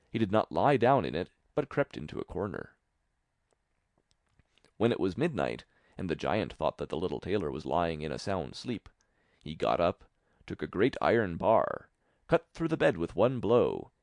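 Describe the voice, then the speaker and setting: A man's voice: low-pitched, vocal fry, a little mellow, dry recording, studio quality. A man reading a fairy tale as scripted audiobook narration.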